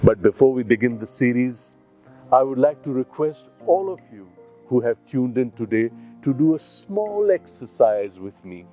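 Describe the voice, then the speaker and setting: A man with an Indian accent hosting a series for children, introducing it in an encouraging way with deliberate phrasing. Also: medium pitch, big pitch swings, a little mellow. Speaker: series host. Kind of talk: scripted introduction addressed to children. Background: plucked guitar music.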